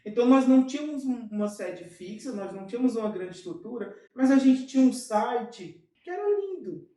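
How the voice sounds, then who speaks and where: A Brazilian man speaks as an interview guest, lively and full of quick asides. His voice sounds set back from the mic in a very echoey room. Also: extremely high pitch, distant mic. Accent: Brazilian Portuguese. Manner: animated, with frequent fillers and quick asides. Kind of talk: casual storytelling in an interview.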